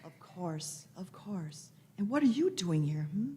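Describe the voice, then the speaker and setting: A woman's voice: on the low side, sing-song, a little slow, mellow. A woman playing an eccentric, imperious elderly time traveller in a radio play, fussily humming and repeating words. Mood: imperious, fussy. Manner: fussy, repeated words and hums. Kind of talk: live radio play reading.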